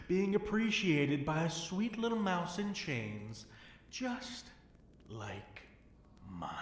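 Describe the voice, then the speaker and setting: A man performs a captive, half-mad dragon king in an intimate fantasy audio roleplay. He speaks very unhurriedly in a theatrical, seductive purr, teasing and possessive, slipping into murmured asides. The sound is roomy and echoing, with a faint noise underneath. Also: mid-range voice. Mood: wry, seductive.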